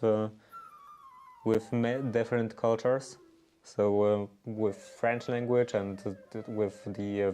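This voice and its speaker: A man's voice: fairly low pitch, warm tone, clear, resonant tone. A native Polish-speaking man with a Polish accent, chatting casually on a livestream and thinking aloud in English with many fillers and restarts. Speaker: livestream host. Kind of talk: casual livestream chat, answering viewers.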